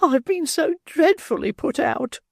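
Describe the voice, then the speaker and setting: A man voicing a flustered middle-aged matron in a high, breathy, swooping falsetto. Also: animated, unhurried, no echo, clean studio sound, full character voice. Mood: distressed.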